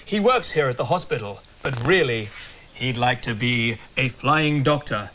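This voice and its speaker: An actor playing a chummy, earnest hospital colleague in a comedy sketch. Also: male voice, medium pitch, lively intonation, a little slow, sharp tone, a little hiss or hum.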